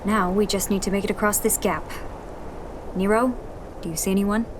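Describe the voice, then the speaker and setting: A woman voicing a determined young rebel leader who gives steady orders. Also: mid-range voice, normal speed, noisy background, natural voice. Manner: steady, directing, urgent in action.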